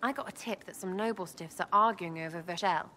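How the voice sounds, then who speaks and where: A woman's voice: fairly low pitch, a little fast, bright, forceful tone, slight background noise. A woman voicing a cheeky, irreverent young elf rogue in a video game, breezy and slangy with a British accent. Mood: playful. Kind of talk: video game dialogue acting.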